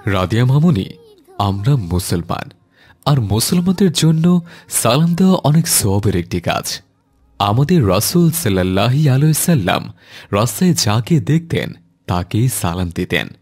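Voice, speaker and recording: A man voicing a kindly Islamic schoolteacher in a cartoon, gently instructing a child in a didactic way. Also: medium pitch, very animated, moderate pace, breathy edge. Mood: gentle, instructive. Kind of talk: animated cartoon dialogue.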